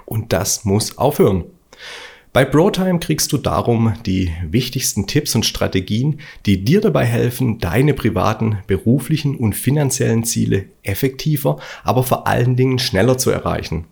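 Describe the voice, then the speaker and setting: A man's voice: mid-range voice, expressive, normal speed, gravelly, darkish tone, breathy edge. A man from Stuttgart with a Swabian accent, hosting his own motivational podcast, talking bluntly and colloquially in a preachy solo monologue. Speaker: podcast host.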